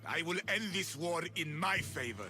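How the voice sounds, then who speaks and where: An actor playing a ruthless, ambitious Spartan general in a video game. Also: male voice, fairly high pitch, sing-song, normal speed. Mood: commanding. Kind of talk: scripted video game cutscene acting.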